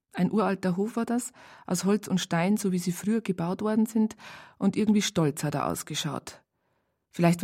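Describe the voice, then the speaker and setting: An actress playing a wry storyteller with a Bavarian accent, narrating a radio crime drama in a colloquial, unhurried way. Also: female voice, low voice, darkish tone. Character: wry female storyteller recounting police case files.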